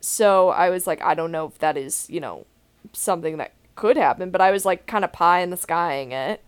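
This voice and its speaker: A young woman with a deep voice, hosting a podcast in a candid, rambling way and laughing now and then mid-sentence. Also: normal speed, clean tone. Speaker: podcast host. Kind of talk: casual podcast chat.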